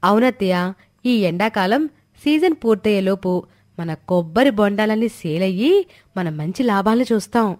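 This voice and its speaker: A woman's voice: medium pitch, lively intonation, normal speed, a little mellow, lightly acted. Woman doing young village daughter in law in a story, confident n pushy-persuasive, emphatic delivery. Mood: eager, assured. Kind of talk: dramatized Telugu moral story dialogue.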